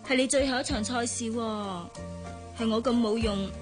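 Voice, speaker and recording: A woman's voice: a little high, moderate pace, over music, natural voice. An actress playing a coy, teasing young woman in love in a Hong Kong Cantonese radio drama. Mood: coy, affectionate.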